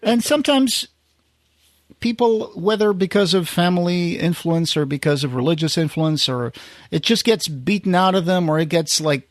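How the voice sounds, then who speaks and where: A man hosting a podcast in a casual conversational way, halting and rephrasing as he thinks aloud. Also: high voice, expressive, no room sound, studio quality. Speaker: podcast host.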